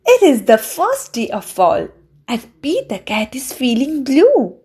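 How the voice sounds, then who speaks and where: A woman reading a children's storybook aloud in an expressive, deliberate way meant for young listeners. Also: high voice, husky. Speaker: children's book reader.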